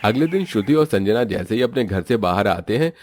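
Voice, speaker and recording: A man's voice: fairly low pitch, expressive, brisk, darkish tone. A man narrating a scripted moral story as its storyteller.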